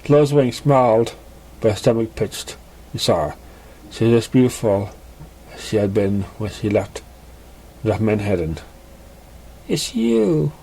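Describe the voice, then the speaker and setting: Man haltingly narrating a story. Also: on the low side, dark tone, slightly rough, rough recording. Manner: stumbling, often restarts misread words. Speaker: amateur story reader. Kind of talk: fan fiction narration.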